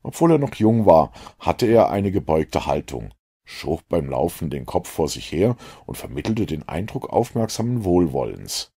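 A man's voice: low voice, very animated, moderate pace, mellow, slightly rough. A man reading classic fiction as a scripted audiobook narration.

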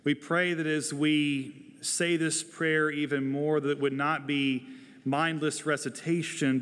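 A man in his forties delivering a prepared sermon as a pastor, earnest and deliberate, speaking into a lapel mic in a large church. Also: mid-range voice, fairly bright tone. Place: large church sanctuary, indoors, at a pulpit. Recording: long hall reverb of a large sanctuary.

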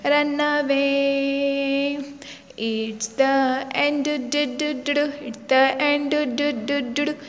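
A woman's voice: high-pitched, fairly even intonation, slow pace, big-room sound. A woman singing nursery rhymes for children in a repetitive sing-along delivery. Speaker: children's song singer. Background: faint backing music.

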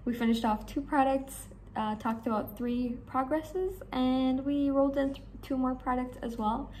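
A young woman with a hoarse voice, chatting enthusiastically to camera in a small room about her beauty products. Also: high-pitched, fairly even intonation, a little slow, darkish tone, a little hiss or hum, low-quality recording. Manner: chatty, enthusiastic, rambling with fillers. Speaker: beauty vlogger. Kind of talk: casual talk to camera.

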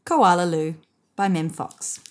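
A woman in her thirties reading a picture book aloud to children in an expressive, measured way. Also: lowish voice. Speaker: storybook reader. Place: indoors, seated on a sofa.

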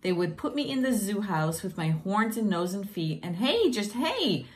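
A woman whose first language is Portuguese reads picture books aloud to children in a gentle, encouraging, singsong way. She is filmed at home on a close webcam. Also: medium pitch, sing-song, moderate pace, slight background noise. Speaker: teacher reading picture books. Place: home room with plants, indoors. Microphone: webcam at close range.

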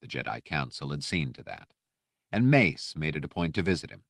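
A man reading a scripted audiobook story with measured, precise, dramatic narration. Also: very deep voice, very animated, creaky, no room sound, studio quality.

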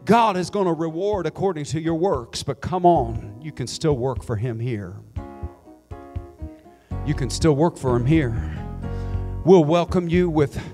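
A middle-aged American man preaching a Pentecostal sermon in a church sanctuary on a handheld mic, his delivery swelling from quiet scripture reading to near-sung, shouted appeals. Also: fairly high pitch, expressive, unhurried, soft background music. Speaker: pastor. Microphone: handheld microphone.

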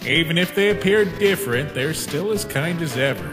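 A man voicing an easygoing, laconic skeleton scientist in an animated comic dub. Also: a little high, normal speed, natural voice. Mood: relaxed, wistful. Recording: long reverb, prominent music.

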